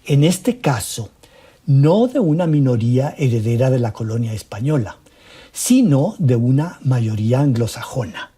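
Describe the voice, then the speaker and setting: A man narrating a documentary script in a measured, formal way. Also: mid-range voice, big pitch swings, mellow, slightly rough.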